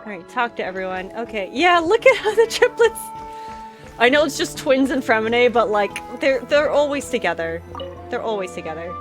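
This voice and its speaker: A woman's voice: a little high, sing-song, normal speed, over music. A woman streamer giving casual, giggly and reactive live commentary on a game.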